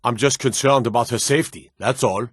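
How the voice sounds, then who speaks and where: A man voicing a stern, dutiful fantasy city guard in a video game, speaking in a gruff, formal, clipped way. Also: medium pitch, brisk, dry recording, studio quality, lightly acted. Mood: earnest, concerned. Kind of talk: scripted game character dialogue.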